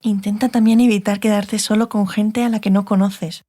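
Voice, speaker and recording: An actress playing a stern, sardonic sorceress, curt and authoritative, in a dramatized fantasy audiobook. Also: female voice, medium pitch, fairly even intonation, moderate pace, mellow, natural voice. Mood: confidential, wry. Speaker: audiobook actor.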